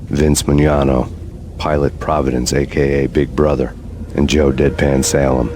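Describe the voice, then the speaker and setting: Noir game detective narrator: brooding, deadpan, rock-bottom pitch, monotone delivery. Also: male voice, normal speed, slight background noise. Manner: deadpan, grim, poetic. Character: brooding, world-weary hardboiled cop narrating his vendetta.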